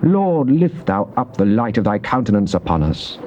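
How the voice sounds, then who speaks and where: A devout psalmist praying to god, soft mellow tone, solemn measured bible drama, muffled old tape. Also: male voice, fairly low pitch, very animated. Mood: solemn, trusting. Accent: British. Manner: solemn, declamatory, measured phrasing. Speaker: actor reading psalms. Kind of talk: dramatized scripture reading, classical stage delivery. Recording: dull, noisy old cassette tape.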